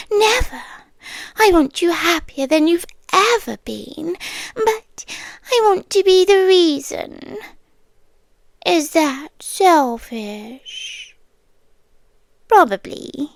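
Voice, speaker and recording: A woman with a British accent playing a possessive, ageless creature girlfriend in an intimate ASMR roleplay, breathy and often whispering, tender yet menacing. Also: high-pitched, very animated, no echo, heavily acted. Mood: tender, pleading. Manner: slow, deliberate, coaxing with lingering pauses. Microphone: close, dry ASMR microphone.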